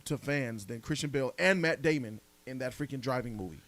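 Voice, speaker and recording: A man's voice: medium pitch, a little fast, a bit bright, no echo. A man hosting a casual live podcast, debating movies in an emphatic, argumentative, slangy way with some swearing. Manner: emphatic, argumentative, repeats points, slangy and profane. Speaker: podcast host reading donor messages.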